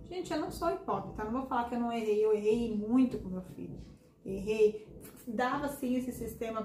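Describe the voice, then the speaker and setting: A hoarse woman hosting a casual podcast conversation, speaking earnestly and addressing listeners directly. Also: fairly high pitch, dark tone. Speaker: podcast host and hypnotherapist. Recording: echoey room with faint background noise.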